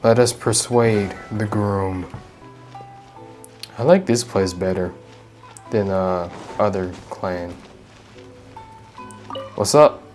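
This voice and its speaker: A man commentating his game playthrough in an easy, unhurried drawl, with offhand mumbled remarks. Faint game music runs under his voice. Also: on the low side, fairly even intonation, a little mellow. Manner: laid-back, mumbling asides. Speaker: gaming youtuber. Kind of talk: casual gameplay commentary.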